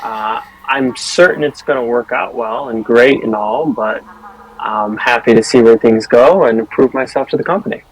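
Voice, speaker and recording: A man with a husky, mid-pitched voice talks as a tabletop game player. He introduces a character in a casual, improvised style. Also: moderate pace, rough recording.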